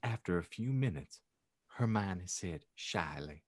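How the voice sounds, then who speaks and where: A man's voice: low voice, lively intonation, a little slow, dry recording, clean studio sound. A man narrating a fan fiction aloud as a podcast host, reading theatrically with wry comic asides. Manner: theatrical, wry, breaks into laughter. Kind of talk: comedic dramatic reading with asides.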